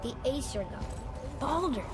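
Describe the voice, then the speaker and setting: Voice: sits high, lively intonation, a little slow. An actor playing an eager, curious and talkative young boy in a video game. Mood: curious, chatty. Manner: talkative, inquisitive. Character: eager young boy, curious, chatty son of a stern warrior. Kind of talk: naturalistic video game voice acting.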